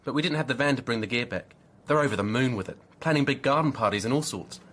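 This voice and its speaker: An actor playing a chatty, eager landscape gardener in a radio drama, informal and enthusiastic. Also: male voice, medium pitch, fast talker, fairly bright tone, lightly acted.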